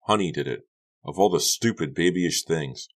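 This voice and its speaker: A man's voice: deep voice, normal speed. A man voicing an exasperated, furious teenage girl in an audiobook, strained, accusing and snappish. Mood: bitter. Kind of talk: audiobook character dialogue.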